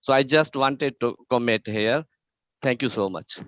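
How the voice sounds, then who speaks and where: A man from Pakistan who works with rural communities, speaking earnestly and haltingly in an online meeting. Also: medium pitch, a bit bright, dry recording. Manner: earnest, halting with many fillers. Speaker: community worker. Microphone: video call.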